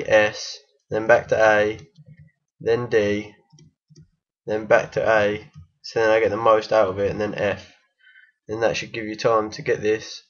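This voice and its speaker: Croaky man guiding through a game. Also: lowish voice, a bit bright, highs cut off. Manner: hesitant, many ums and restarts. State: croaky from illness. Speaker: game guide maker. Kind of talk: casual gameplay tutorial voice-over.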